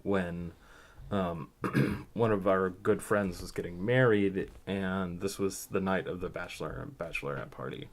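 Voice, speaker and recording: Man with a low voice, podcast guest; casual, reflective storytelling in halting phrases. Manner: halting, thoughtful, pauses mid-sentence.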